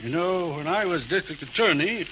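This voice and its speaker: An actor playing a genial, dignified older judge in 1940s American radio drama, speaking in a measured, formal way. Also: male voice, on the high side, lively intonation, sharp tone. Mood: genial, amused. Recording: faintly noisy vintage radio recording.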